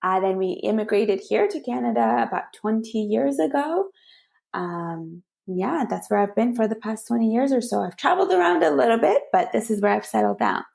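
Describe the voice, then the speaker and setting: A woman's voice: a little high, lively intonation, normal speed, vocal fry, a little mellow. A woman from Iran, a planetary scientist, answering interview questions in a chatty, self-deprecating way over a remote call.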